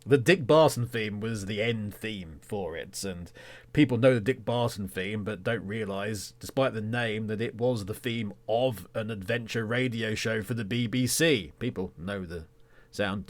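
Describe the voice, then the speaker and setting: A man's voice: lowish voice, measured pace. A man hosting a podcast in casual solo talk, with a rambling, self-deprecating and mock-indignant humour. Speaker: podcast host.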